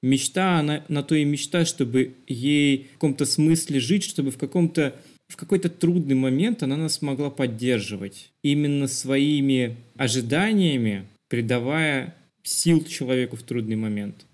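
A man with a mid-pitched voice talks as a podcast co-host. He reflects aloud and explains his ideas at length, as in a film discussion. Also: moderate pace, a little mellow.

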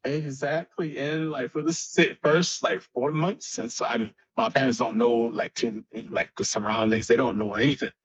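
A man from Haiti in his twenties, a guest musician speaking haltingly and searching for words in a casual interview. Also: medium pitch, steady tone, breathy edge. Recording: dull lo-fi sound.

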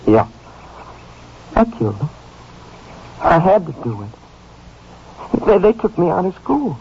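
An actor playing an unhinged, bitter young student killer, agitated and self-pitying, in a 1950s radio drama. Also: male voice, high voice, very animated, unhurried, warm tone, breathy edge, heavily acted. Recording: noisy, dull old radio recording.

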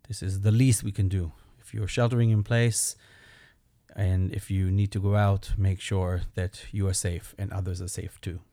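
Man with a Belgian accent, rabbi host, earnest and personal, mellow tone, dry close sound. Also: fairly low pitch, no echo. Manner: earnest, personal, gently humorous. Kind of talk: reflective solo talk.

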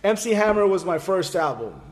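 A man's voice: sits high, sing-song. A man from Miami chatting casually as a guest, blunt and swearing freely. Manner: blunt, swears a lot, quick laughs. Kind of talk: casual chat.

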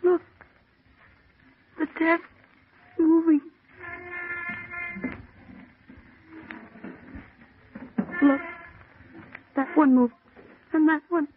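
A woman's voice: sits high, fairly even intonation, slow pace. An actress in an old-time radio thriller playing a terrified, helpless young woman near hysteria, pleading and repeating her phrases. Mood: haunted fear. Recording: dull, noisy old radio broadcast.

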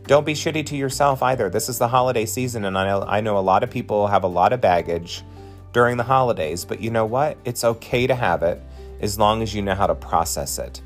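A man's voice: on the low side, fairly quick, soft background music. Chatty, uplifting man in his forties. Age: 40s. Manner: chatty, effusive and encouraging, addresses listeners directly. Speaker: podcast host and drag performer. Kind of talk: casual podcast monologue.

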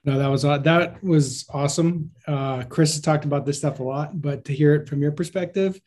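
A man hosting a podcast, relaxed, bringing up topics and asking questions. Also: fairly high pitch, normal speed. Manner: relaxed, asks open questions. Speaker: podcast host.

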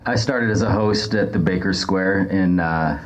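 A man guest on a live stage, chatting casually and comically with a dry, deadpan delivery. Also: low voice, measured pace, low-quality recording. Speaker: podcast guest, former restaurant worker. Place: stage in front of an audience.

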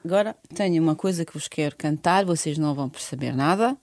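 A woman's voice: deep voice, fast, darkish tone, no echo. A middle-aged woman from Bosnia, speaking a learned earnestly and searching for words in a radio interview. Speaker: guest singer.

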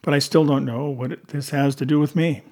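A man's voice: medium pitch, steady tone, fairly quick, a little mellow, close to an everyday voice. A police detective, read by a male audiobook narrator, talks back with sardonic defiance. He is clipped and confrontational, holding his ground against others. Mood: defiant.

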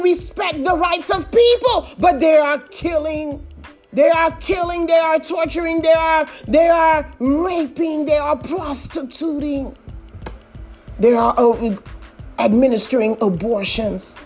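Slow, high-voiced woman praying fervently. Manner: pleading, repetitive invocations, rising to shouts. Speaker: prayer leader. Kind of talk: fervent intercessory prayer.

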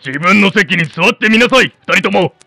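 A man's voice: high voice, expressive, normal speed, gravelly, pushed and bright, slight background noise, heavily acted. A man playing a blunt, colloquial working-class family man in a nostalgic radio drama. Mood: scolding, blunt. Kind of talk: Japanese radio drama acting.

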